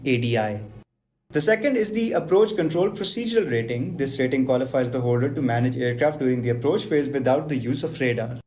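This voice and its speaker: Brisk man reading aviation rules. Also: medium pitch. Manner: brisk, even, list-like reading of technical terms. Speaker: aviation explainer host. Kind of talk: scripted educational narration, just-a-minute format.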